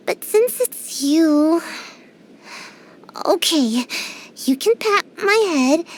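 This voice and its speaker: A woman's voice: extremely high pitch, exaggerated voice. A woman voicing a small, sleepy girl ninja in a video game, drowsy and sighing, always avoiding work. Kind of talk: video game character voice lines.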